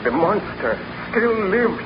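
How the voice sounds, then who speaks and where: A troubled, guilt-ridden nobleman of science in a 1930s radio drama. His voice sits fairly high and he speaks gravely and theatrically. The recording is dull and very noisy. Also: very animated, slow pace, exaggerated voice. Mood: grave reassurance. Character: tormented baron scientist, guilt-ridden, troubled, resolute man.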